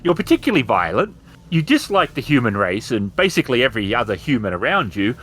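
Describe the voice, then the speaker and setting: Man playing a theatrical villainous robot, wildly swooping pitch. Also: huge pitch swings, fast, fairly bright tone, slight background noise. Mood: scornful, goading. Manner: theatrical, taunting. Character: villainous robot with a copied mind, smug, misanthropic.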